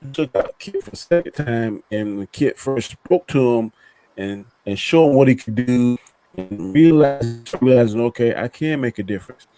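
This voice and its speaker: A man's voice: medium pitch, animated, measured pace, dark tone, soft background music, poor audio. A man chatting casually on a review panel, telling stories colloquially and acting out characters' lines. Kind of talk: casual storytelling chat.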